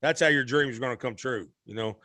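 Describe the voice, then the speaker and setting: A man's voice: mid-range voice, fast, bright, forceful tone, dry recording. Rambling, folksy man from Arkansas. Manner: rambling, self-correcting, folksy. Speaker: guest singer. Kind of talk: relaxed conversational storytelling.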